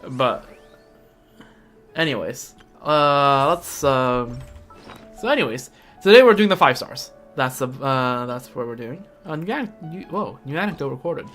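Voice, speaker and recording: A man's voice: mid-range voice, animated, a little slow, a bit bright. A young man giving casual live stream commentary on a game, rambling and thinking aloud. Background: game music.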